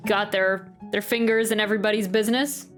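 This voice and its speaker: A woman's voice: mid-range voice, level tone, fairly quick. A woman giving casual live game commentary, musing and thinking aloud. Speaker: game reaction streamer. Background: game music.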